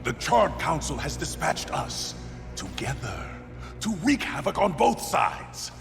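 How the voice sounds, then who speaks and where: An ominous horseman in a video game. He is grave and gravelly, with big swings of pitch. Music sits behind him. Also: wildly swooping pitch, full character voice. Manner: grave, deliberate. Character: grim, ominous apocalyptic horseman. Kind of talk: video game cutscene acting.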